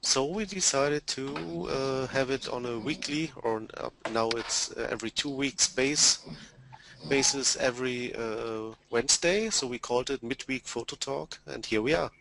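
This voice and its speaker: A man from central Germany on a casual video call, explaining haltingly with pauses and fillers. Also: mid-range voice, vocal fry, background noise.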